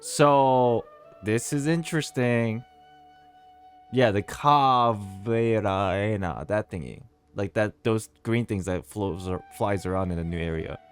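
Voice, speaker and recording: A man's voice: lowish voice, takes it slowly, clean tone, soft background music. A man streaming a game with casual commentary, musing and speculating aloud to his chat. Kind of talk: casual live playthrough commentary.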